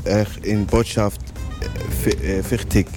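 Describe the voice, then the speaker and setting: Man interviewer, deep flat voice, unhurried, halting, music bed. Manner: halting, searching for words.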